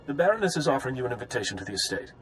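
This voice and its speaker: Gruff, animated house guard. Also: male voice, medium pitch, racing, slight background noise. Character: gruff male house guard, loyal and plain-spoken. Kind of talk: video game voice acting.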